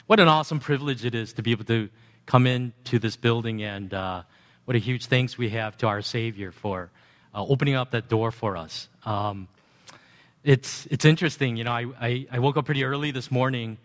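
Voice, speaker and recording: Pastor, a man speaking in a reverberant hall. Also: a bit bright. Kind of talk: addressing a congregation. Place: large church sanctuary. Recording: long hall reverb, booming sound system.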